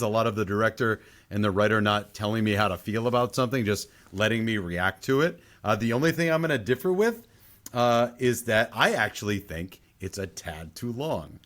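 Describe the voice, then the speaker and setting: Male podcast co-host, average pitch. Casual reviewer who hedges and restates his opinions. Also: fairly bright tone. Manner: hedging, repeats himself for emphasis.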